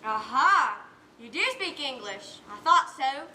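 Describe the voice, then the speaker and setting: A young actress as a bright, gruff backwoods farm wife. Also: female voice, high voice, lively intonation, a little slow, exaggerated voice. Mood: gruff, sardonic. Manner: blunt, rustic ungrammatical speech. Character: gruff, hard-bitten backwoods farm wife living alone. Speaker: student actor in a one-act play. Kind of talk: projected stage acting. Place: indoor stage, recorded live. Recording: roomy stage recording, faint background noise.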